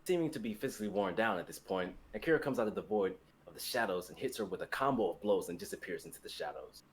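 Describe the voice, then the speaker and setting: A young man narrates a dramatic script, reading out scene and action directions. His voice is fairly low. The recording carries clear background noise and room ambience. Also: lively intonation, fast. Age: young adult.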